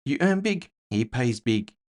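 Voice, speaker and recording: A man's voice: medium pitch, very animated, measured pace, pitched above the performer's own voice. Guy doing a flashy orc buddy, cocky n teasing, lots of slang, voice bounces around, cyberpunk story. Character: showy, cocky young male orc fighter, slangy and easygoing. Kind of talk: cyberpunk audio fiction character voice.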